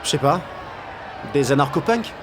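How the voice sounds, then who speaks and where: An actor playing a shy, gentle teenage boy in a French audio drama, speaking casually and hesitantly. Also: a little high, lively intonation, moderate pace, background noise, lightly acted. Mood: gentle, curious. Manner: hesitant, soft-spoken casual talk.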